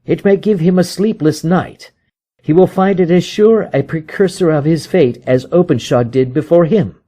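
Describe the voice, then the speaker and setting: A man's voice: fairly high pitch, moderate pace, mellow, pitched above the performer's own voice, lightly acted. A man voicing a proud, brooding detective in an audiobook, with an emphatic, agitated way of declaring things. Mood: vengeful, triumphant. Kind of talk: dramatic audiobook character reading.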